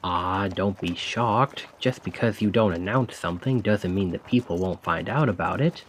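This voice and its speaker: A man's voice: sits low, normal speed, slight background noise, lightly acted. A man playing a chatty, cheeky stand-in carriage driver in an audio roleplay, talking casually and awkwardly to the listener with sly insinuation. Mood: sly, insinuating. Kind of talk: ASMR roleplay acting, addressing the listener.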